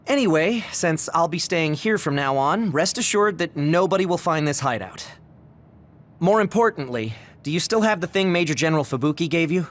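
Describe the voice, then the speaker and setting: An actor playing a practical young male ally in an anime game, matter-of-fact and brisk. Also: a little high, expressive, natural voice. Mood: businesslike. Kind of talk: anime game cutscene dialogue.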